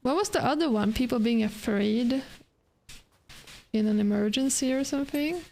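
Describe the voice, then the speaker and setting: A woman's voice: a little high, moderate pace, darkish tone. A woman in her thirties chatting casually during a gaming stream, rambling with lots of "like" and frequent laughs. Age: 30s. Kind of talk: casual chat while gaming.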